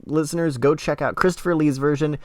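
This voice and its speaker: A man's voice: fairly high pitch, fairly even intonation, a little fast, dry recording. A man hosting a podcast in casual, conversational review style. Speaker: podcast host.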